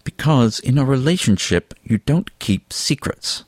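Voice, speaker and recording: A man's voice: mid-range voice, animated, unhurried, darkish tone, no echo, voice pushed up. A man voicing an earnest, bristling young male animal student in an audiobook, with an insistent, moralizing manner. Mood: accusing. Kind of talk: audiobook character dialogue.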